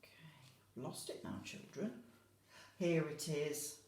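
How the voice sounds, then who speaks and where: A woman's voice: deep voice, slow, gravelly, a little mellow. A British woman, an infant school teacher, talks to young children about a picture book, stressing key words and often checking in with tag questions. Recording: roomy, echoey home-style recording.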